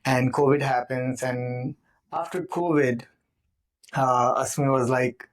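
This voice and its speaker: A man who speaks English as a second language, telling his life story slowly on a podcast with many pauses. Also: mid-range voice, steady tone. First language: not English. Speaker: podcast guest. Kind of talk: casual personal storytelling. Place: home studio, indoors.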